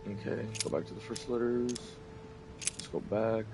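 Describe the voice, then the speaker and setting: A man with a raspy voice giving casual commentary while playing a video game, reading in-game letters aloud haltingly. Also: fairly low pitch, noisy background. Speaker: gameplay streamer. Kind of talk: casual let's-play commentary.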